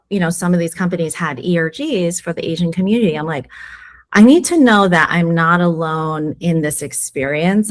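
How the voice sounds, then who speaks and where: Woman exec being interviewed, lowish voice, candid, storytelling vibe. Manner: frank, animated storytelling with acted-out quotes. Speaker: sales executive guest.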